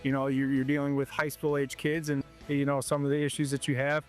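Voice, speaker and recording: Halting Ohio-born coach answering questions. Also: male voice, medium pitch, completely flat delivery, faint music behind. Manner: halting, repeats words while thinking. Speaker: high school football coach. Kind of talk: interview answers.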